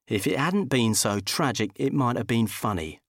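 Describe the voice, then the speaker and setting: A man narrating a comic first-person audiobook in a wry, expressive storytelling manner. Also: medium pitch, moderate pace, no room sound, clean studio sound.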